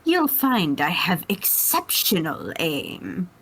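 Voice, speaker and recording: A woman's voice: lowish voice, wildly swooping pitch, takes it slowly, fairly bright tone, breathy edge, exaggerated voice, poor audio. A woman voicing a haughty, devious male alien tyrant with pompous, formal phrasing in an amateur dramatic reading. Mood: devious confidence.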